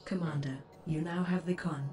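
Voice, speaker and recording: A woman's voice: low voice, steady tone, normal speed, over music, natural voice. A woman voicing a composed, courteous female spaceship AI assistant, speaking formal, clipped game status lines. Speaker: ship voice assistant. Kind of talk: scripted game voice pack lines. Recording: distant sound under heavy engine noise.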